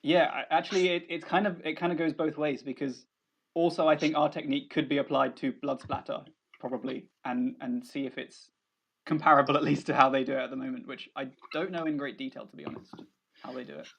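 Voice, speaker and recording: A young man with a southern English accent talks casually as a guest researcher, his voice a bit high for a man. He hesitates and restarts his sentences in a modest way. The audio sounds dull and lo-fi. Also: fairly even intonation, a little fast, vocal fry, a bit bright. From south of England. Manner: hesitant, restarts often, self-deprecating. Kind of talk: casual conversation.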